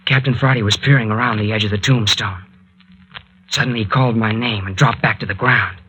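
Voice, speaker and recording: An actor playing an earnest, excitable young adventurer in a 1940s radio drama, with a colloquial, solicitous way of talking. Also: male voice, lowish voice, a little fast, breathy edge, rough recording. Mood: tense, urgent. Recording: vintage 1940s radio recording.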